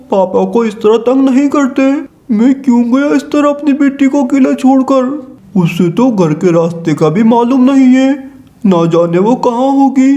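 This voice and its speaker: A caring cartoon papa bird, voiced by a man, with a notably high yet mellow voice. He is gentle and fatherly, speaking tenderly as a parent to a child. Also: muffled recording. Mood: worried, calling. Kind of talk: Hindi cartoon voice acting.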